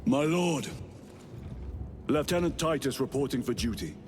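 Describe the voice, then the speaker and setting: An actor playing a stoic veteran space marine lieutenant in a video game, terse and solemn. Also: male voice, medium pitch, animated, a little slow, lightly acted. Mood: terse, dutiful. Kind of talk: cinematic video game dialogue.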